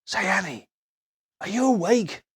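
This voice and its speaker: An eager, earnest little storybook boy, acted out by a man. The voice is lifted well above a grown man's usual talk and moves up and down in a lively way, childlike and full of wonder. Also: high voice, a little slow, breathy edge, pitched above the performer's own voice, exaggerated voice. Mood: whispering, eager.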